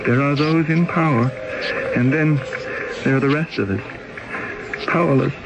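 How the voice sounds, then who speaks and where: A man's voice: mid-range voice, measured pace, lightly acted. An actor in his twenties playing a young exile in a radio drama, pleading and anguished. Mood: desperate. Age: 20s. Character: young male exile, desperate, indignant. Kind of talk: 1970s radio drama acting. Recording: noisy old radio broadcast.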